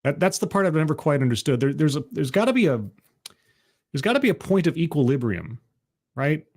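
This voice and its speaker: A man's voice: on the high side, sing-song, fairly quick, darkish tone. A man casually commenting on a played video, sardonic and rambling, with many restarts.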